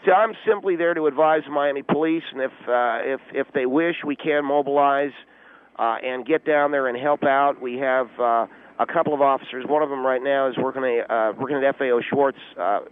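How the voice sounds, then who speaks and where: A man playing an officious, self-important but clueless volunteer auxiliary policeman on a phone line, deadpan radio comedy with pompous, halting tactical jargon. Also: mid-range voice, clean tone. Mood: officious, confident. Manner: pompous pseudo-tactical jargon, hesitant filler-laden delivery. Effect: telephone line. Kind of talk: deadpan comic radio call-in. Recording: tinny, narrow phone line.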